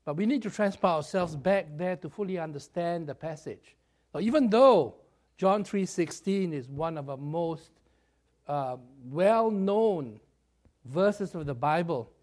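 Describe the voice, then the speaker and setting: Slightly raspy, animated preacher man. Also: fairly high pitch. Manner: animated, chatty, jokes at his own expense. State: hoarse after a cold. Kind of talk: sermon with storytelling.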